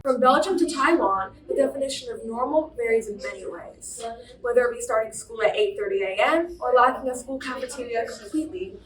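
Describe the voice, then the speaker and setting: A woman's voice: on the high side, lively intonation, fairly quick. Teen girl narrator in an echoey room. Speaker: student reporter. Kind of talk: scripted news feature narration. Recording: distant mic in an echoey room.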